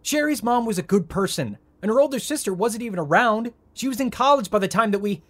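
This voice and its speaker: A husky-voiced man in his thirties as a rich family's volatile heir in an audio drama. His pitch swings widely as he stammers and pushes his words out with wounded indignation. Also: lively intonation, fast talker. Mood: agitated, hurt. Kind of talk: naturalistic audio drama acting.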